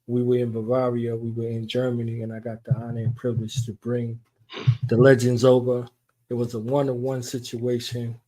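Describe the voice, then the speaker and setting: Male talk radio host, dark mellow tone, even delivery, hyping up his guest. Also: medium pitch, normal speed, poor audio. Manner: hype-style, repeats "you understand what I'm saying". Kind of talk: casual interview introduction.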